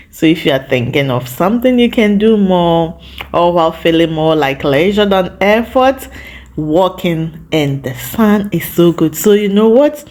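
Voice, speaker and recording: Slow-paced woman vlogger, lowish voice. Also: darkish tone. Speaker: host of a lifestyle vlog. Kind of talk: casual vlog talk to viewers.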